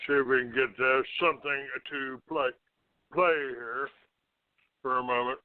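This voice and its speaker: Slow, combative man on a tinny line. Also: medium pitch, steady tone, no echo. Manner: combative, accusatory, halting. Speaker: internet call-in show host. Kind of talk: unscripted talk show rant. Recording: narrow, tinny phone-like line.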